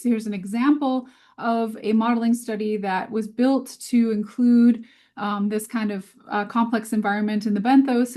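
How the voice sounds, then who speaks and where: A woman's voice: mid-range voice, darkish tone. A woman presenting a scientific webinar over a video call in an informal, conversational way, often hesitant as she thinks aloud.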